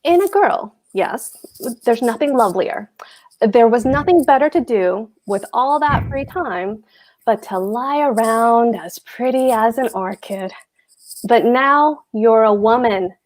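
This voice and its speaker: High voice, fussy pompous clerk husband played by a woman, hectoring, fretful, stage comedy table read. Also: animated, measured pace. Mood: lecturing, fretful.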